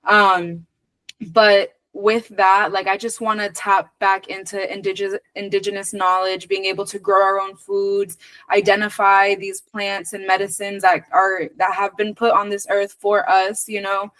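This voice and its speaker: A woman from Venezuela who grew up in the United States, talking casually and reflectively as an interview guest, earnest and emphatic, pausing to choose her words. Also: medium pitch, little pitch movement, fairly bright tone, no echo. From born in Venezuela, moved to the United States very young. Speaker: podcast guest.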